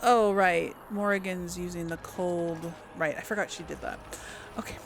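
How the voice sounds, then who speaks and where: A woman's voice: on the low side, steady tone, normal speed, a little hiss or hum. A woman playing a video game and giving casual commentary, thinking aloud informally for her viewers. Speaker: video game let's player.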